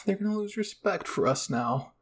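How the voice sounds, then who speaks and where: A man's voice: sits high, animated, moderate pace, voice pushed up. A man voicing an earnest, easily flustered young woman soldier in an amateur anime roleplay. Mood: wailing, embarrassed.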